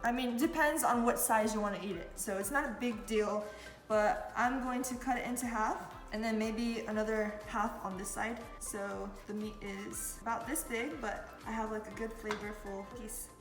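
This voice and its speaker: Woman, fairly high voice, casual chatty cooking tutorial, lots of fillers, roomy echo. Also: steady tone, moderate pace. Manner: chatty, loose, many fillers. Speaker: cooking vlogger. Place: home kitchen. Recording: echoey room with background music.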